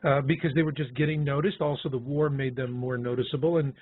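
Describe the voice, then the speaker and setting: A man's voice: medium pitch, steady tone, fast, breathy edge, dry recording. An older man in a conversational interview, explaining his ideas and asking questions as a co-host. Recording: thin phone-like line.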